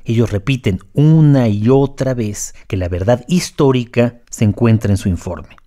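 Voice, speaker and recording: A man's voice: mid-range voice, moderate pace, dark tone. A man reading scripted documentary narration as co-narrator of an audio series.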